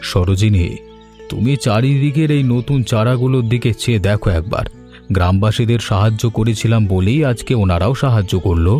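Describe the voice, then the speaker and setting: A man's voice: lowish voice, fairly quick, warm tone, lightly acted. A man voicing a kind, grateful old village uncle in a cartoon story, speaking in an earnest, sentimental way. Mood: content, earnest. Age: older. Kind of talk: Bengali cartoon story voice acting. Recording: music behind the voice. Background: music.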